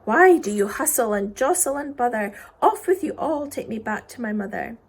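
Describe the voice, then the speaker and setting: A woman voicing a high-pitched bossy little boy. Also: expressive, normal speed, husky. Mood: cross, defiant. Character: bossy little boy, wilful, easily frightened. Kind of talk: children's poem read aloud.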